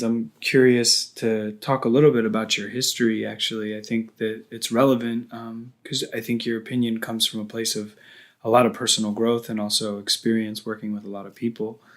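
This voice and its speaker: A male interviewer speaks at a medium pitch with little melodic movement. His questions are conversational and a little tentative, phrased in a hedged, roundabout way. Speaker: interviewer, host.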